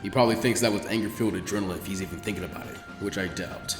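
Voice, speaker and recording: A man voicing a gruff, weary, laid-back ninja mentor in a dramatic story reading, with dry, low-key muttering. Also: racing, music in the background, close to an everyday voice. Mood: pensive. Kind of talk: dramatic fan fiction character reading.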